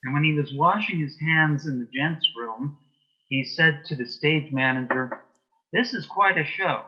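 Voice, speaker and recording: Muffled-sounding man giving measured answers. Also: a little high, slightly rough. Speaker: interview guest, author and playwright. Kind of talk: conversational interview answers. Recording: dull, band-limited sound.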